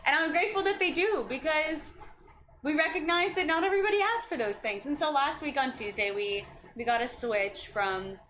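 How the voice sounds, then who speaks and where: A woman's voice: high-pitched, normal speed, fairly bright tone. A woman in her twenties, a county district staff member, talking earnestly and passionately about local policy in a casual chat. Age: 20s. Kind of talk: casual chat on a video stream. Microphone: video call. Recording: noisy recording.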